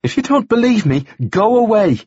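Audiobook role: fiery, distraught young woman acted by a man, high-pitched, sharp retorts, slightly husky. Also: big pitch swings, moderate pace, dull audio, exaggerated voice. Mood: distraught, defiant. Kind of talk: audiobook character acting.

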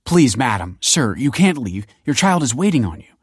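A man's voice: on the low side, animated, fairly quick, no echo, studio quality. Man voicing an earnest, formal female counsellor. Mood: aghast, pleading. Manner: formal, reassuring. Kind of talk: audiobook character acting.

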